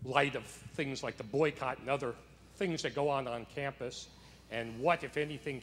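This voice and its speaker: A man's voice: mid-range voice, a bit bright, big-room sound, slight background noise. A man in the audience, a professor, asking a long question from the floor with slight hesitations.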